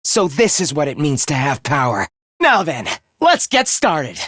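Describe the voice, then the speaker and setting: An actor playing a polite, earnest young male fighter in a video game, with a youthful, slightly husky voice and formal phrasing. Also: extremely high pitch, very animated, unhurried, sharp tone, no echo, studio quality, full character voice. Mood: composed, grateful. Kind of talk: video game battle lines.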